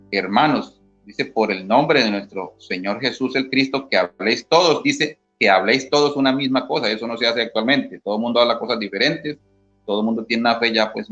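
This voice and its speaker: A man's voice: mid-range voice, brisk, a bit bright. A man from Colombia, an interview guest explaining Bible passages in a teacherly way and often checking in with "¿sí?". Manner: teacherly, reads scripture aloud, asks "¿sí?" often. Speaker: interview guest, former church member. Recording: dull lo-fi audio with faint guitar music.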